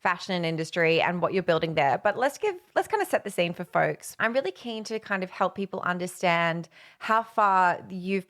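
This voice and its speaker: A woman's voice: lowish voice, fairly bright tone. A woman hosting a podcast, introducing and interviewing her guest with upbeat enthusiasm. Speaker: podcast host.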